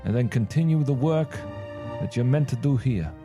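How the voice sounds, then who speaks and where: Man voicing a courteous crime-boss father, pitched up. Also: lively intonation, normal speed, over music, natural voice. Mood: fond, commanding. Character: doting, smooth-talking crime-boss father reading his letter.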